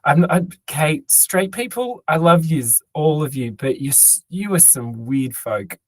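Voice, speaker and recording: A man co-hosting a podcast, speaking in a hoarse, raspy voice he has worn out, rambling and joking with plenty of ums and restarts. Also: a little high, lively intonation, unhurried, dry recording. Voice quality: tired, raspy. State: hoarse, lost voice. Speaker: podcast co-host. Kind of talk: casual chat.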